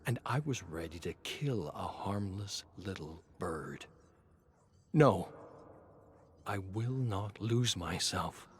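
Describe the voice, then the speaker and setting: A man's voice: low-pitched, expressive, slow pace, a little hiss or hum, pitched below the performer's own voice. A man voicing a desperate, starving fugitive nobleman in a dramatic game story, brooding, anguished and intense. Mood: horrified resolve.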